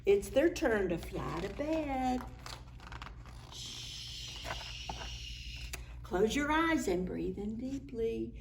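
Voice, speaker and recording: Children's librarian, woman, slow and lively story time read-aloud, faint room hiss. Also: on the high side, gravelly. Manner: animated, sing-song, slips into soft whispers.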